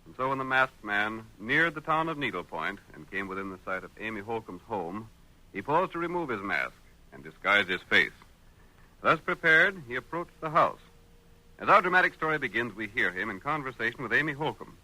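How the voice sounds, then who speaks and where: A man's voice: medium pitch, sing-song, fairly quick, bright, forceful tone, rough recording. An American man narrating an old radio western in a dramatic, declamatory style. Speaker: announcer and narrator. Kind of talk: 1940s radio drama narration, booming and theatrical. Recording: old radio broadcast recording.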